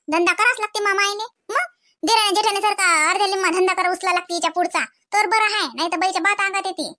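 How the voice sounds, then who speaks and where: Lady doing a gossipy cartoon village wife, super high squeaky pitch, varhadi marathi accent, chatty n grumbly, close dry sound. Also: pushed and bright, no room sound. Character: gossipy, complaining village housewife in a comedy cartoon. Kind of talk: comic cartoon village dialogue.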